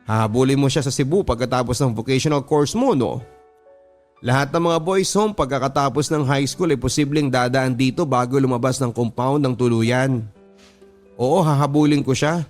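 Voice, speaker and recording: A man's voice: mid-range voice, normal speed, darkish tone. A man with a Filipino accent hosting a radio story program, reading listener letters as dramatic first-person narration. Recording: music under the voice. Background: background music.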